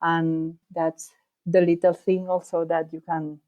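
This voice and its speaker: A woman from Spain, a guest answering interview questions in thoughtful, slightly halting English. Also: lowish voice, flat delivery, lo-fi sound. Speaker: podcast guest, bike traveller.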